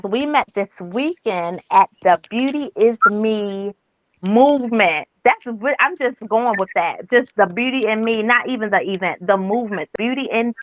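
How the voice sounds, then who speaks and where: A woman's voice: medium pitch, no echo. A woman hosting a casual online interview show, upbeat, with fillers and restarts, on a video call. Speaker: host. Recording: dull lo-fi online call audio, dry.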